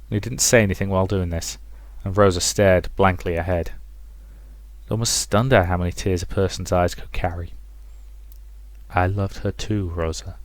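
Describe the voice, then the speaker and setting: A man's voice: sits low, no room sound. A man reading a visual novel as a dramatic scripted narration, with music underneath. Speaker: let's play narrator.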